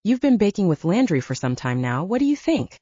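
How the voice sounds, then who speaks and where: A woman reading the part of a calm, measured middle-aged man, a father and leader, in an audiobook, gently serious in manner. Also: mid-range voice, no echo. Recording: slightly dull lo-fi audio.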